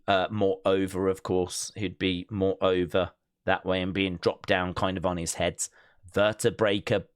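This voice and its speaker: A man's voice: medium pitch, sharp tone, smooth, no echo, studio quality. A man hosting a wrestling news show, chatting informally as he reacts to clips and explains them step by step. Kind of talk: casual news commentary and clip reactions.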